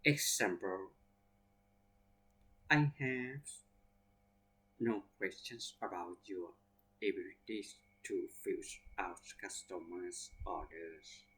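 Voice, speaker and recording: A man with a Thai accent, a native Thai speaker, teaching English vocabulary by reading example sentences slowly word by word and spelling out abbreviations letter by letter. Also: low voice, bright, forceful tone, breathy edge, poor audio. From Thailand.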